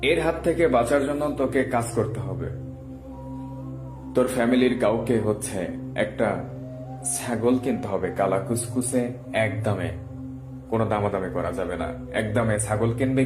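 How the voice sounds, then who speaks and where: A man's voice: lowish voice, moderate pace, lightly acted. A man voicing a stern village exorcist in a horror story, firm and commanding. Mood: grave instruction. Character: stern male village exorcist healer giving orders. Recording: echoey, music bed underneath.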